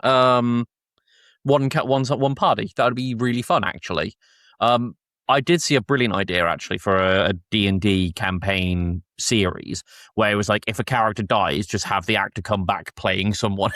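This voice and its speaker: A man's voice: lowish voice, moderate pace, fairly bright tone, no room sound, studio quality. A man hosting a podcast, chatting casually and recapping episodes in a jokey, informal way. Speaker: podcast host.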